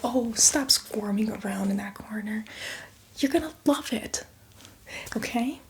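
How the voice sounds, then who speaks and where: A woman playing a boastful, playfully menacing female zombie in a chatty, teasing ASMR roleplay, speaking in a breathy, hushed whisper. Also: fairly high pitch, measured pace, a little hiss or hum. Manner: chatty, teasing, addresses the listener as victim.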